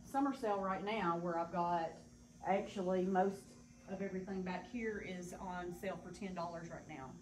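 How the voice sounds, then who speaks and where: A woman's voice: on the low side, fairly even intonation, moderate pace, a little mellow, poor audio. Matter-of-fact Southern shop owner woman. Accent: Southern American. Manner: plain, matter-of-fact answers. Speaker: boutique owner. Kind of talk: casual live interview. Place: large indoor clothing boutique. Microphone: phone camera a few steps away. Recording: store hum, audible background noise.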